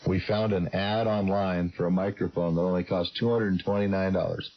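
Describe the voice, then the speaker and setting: A man chatting casually as an amateur radio operator, his voice bassy and muffled over a radio transmission. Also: steady tone, brisk. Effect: ham radio transmission. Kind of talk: casual ham radio chat. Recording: dull radio audio with background chatter.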